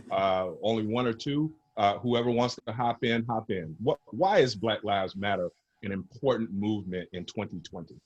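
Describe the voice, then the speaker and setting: A man's voice: fairly low pitch, dull audio. A man moderating an online panel, politely welcoming and introducing each speaker.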